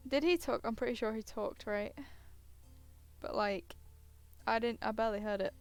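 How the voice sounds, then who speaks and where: Tired gamer girl streamer, woman w high clear voice reading a visual novel out loud, keeps butting in on herself. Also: normal speed, faint music behind. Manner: hesitant, self-interrupting asides. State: tired, yawning. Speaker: gaming streamer. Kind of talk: reading a visual novel aloud with casual commentary.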